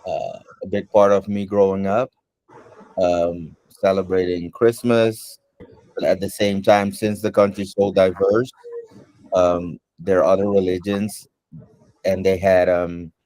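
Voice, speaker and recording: A man from Suriname whose first language is Dutch, answering interview questions in an unhurried way, with many ums and pauses. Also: fairly low pitch, darkish tone, no room sound, poor audio.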